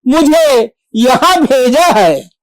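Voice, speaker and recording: Stage actor for devoted, valiant monkey-god messenger: high voice, formal declamation, very drawn-out pacing, dramatic pauses. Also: very animated, no echo, poor audio. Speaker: stage actor in a monologue competition. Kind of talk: theatrical Hindi devotional stage monologue.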